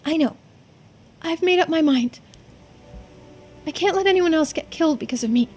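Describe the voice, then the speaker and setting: A woman's voice: very high-pitched, normal speed, darkish tone, faint music behind. A woman voicing an earnest, distressed young island girl in a visual novel read-through. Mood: desperate, resigned.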